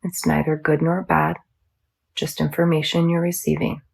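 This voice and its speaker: A woman teaching yoga nidra, guiding a meditation in a soothing, measured, instructional manner. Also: low-pitched, level tone, vocal fry, darkish tone, husky. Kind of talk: guided meditation.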